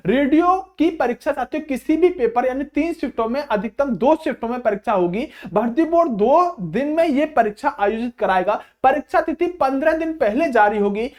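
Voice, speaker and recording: A man speaking as an exam coach, addressing students informally and repeating phrases for emphasis. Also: very high-pitched. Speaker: exam coaching teacher and channel host.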